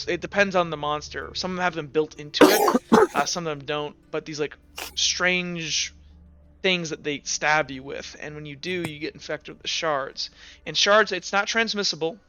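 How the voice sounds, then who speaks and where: A man narrating a tabletop game as game master, improvising in a halting, stop-and-start way. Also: fairly high pitch, bright, forceful tone, clear, resonant tone, muffled recording. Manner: halting, filler-heavy storytelling.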